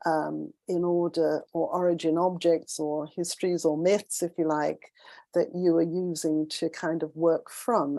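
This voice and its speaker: A woman with a somewhat low, mellow voice, recorded dry and close. She speaks as a panelist putting a question to the speakers, thinking aloud and rephrasing as she works toward her point. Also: no room sound. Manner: thoughtful, searching, frequent restarts and rephrasing. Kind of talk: panel discussion.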